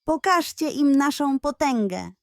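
A woman's voice: high voice, animated, a little slow, dry recording, studio quality, pitched above the performer's own voice. A woman voicing a proud warrior king of hearts in a children's storybook. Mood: commanding.

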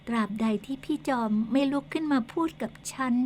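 A young female ghost speaks softly and coaxingly, voiced by a woman. Her voice sits a little high, gentle yet insistent, with a teasing edge. It is a radio ghost drama. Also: a little fast, natural voice. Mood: pleading.

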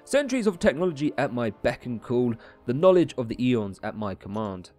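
A man's voice: mid-range voice, big pitch swings, fairly quick, darkish tone, natural voice. A man voicing a grandiose, pompous villain conqueror from a comic-book video game, in a declamatory manner. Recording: music behind the voice.

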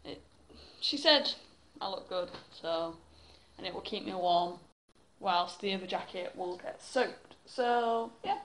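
A woman's voice: mid-range voice, takes it slowly, a bit bright, rough recording. A young woman from England chatting casually to a phone camera held at arm's length, rambling with lots of fillers. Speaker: vlogger. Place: bedroom, then indoors at home.

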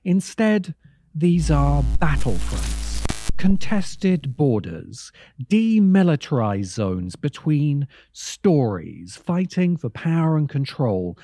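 A man narrating a scripted video essay in a measured manner, pausing for emphasis. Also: fairly high pitch, sing-song, warm tone, no echo. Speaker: host and narrator. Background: music.

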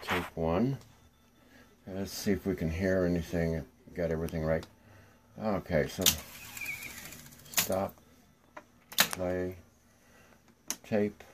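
Slow-talking older man, low voice. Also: steady tone, background noise. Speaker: reel-to-reel technician. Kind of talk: casual hands-on demonstration. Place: small room indoors.

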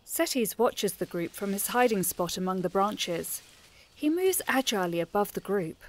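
A woman's voice: medium pitch, animated, normal speed. A woman narrating a scripted fantasy audio drama.

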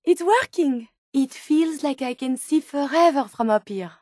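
A woman's voice: sits high, animated, unhurried, a little mellow, no room sound, clean studio sound. A woman voicing a polite, earnest female robot scientist companion in a video game, with a French accent and precise, formal, inquisitive speech. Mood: curious.

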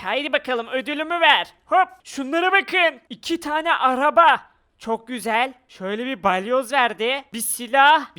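A woman with a bright, very clear and slightly high voice gives excited commentary on a video game. She exclaims and addresses her viewers directly while narrating what she does. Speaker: gaming video creator.

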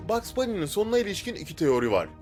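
Lively, higher-pitched male countdown narrator. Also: very fast, soft background music.

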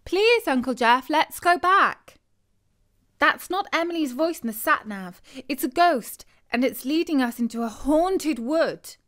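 A frightened child acted out by a woman storyteller pleads and exclaims in alarm. The voice is pushed higher, as in a spooky storybook for children. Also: expressive, a little slow, fairly bright tone. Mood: pleading, scared. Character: frightened, pleading children on a night car trip.

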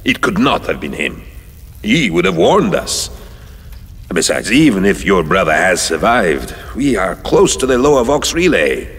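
Husky, grim space warrior. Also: male voice, deep voice, sing-song, measured pace, a bit bright, heavily acted. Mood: suspicious, grim. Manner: terse, stubborn, grave. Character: grim, distrustful, battle-scarred male space warrior. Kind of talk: audio drama acting. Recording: long hall-like reverb.

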